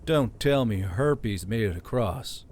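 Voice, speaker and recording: A man voicing a tired, blunt human soldier who murmurs in a low, wry way. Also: lively intonation, slow pace, darkish tone, slight background noise.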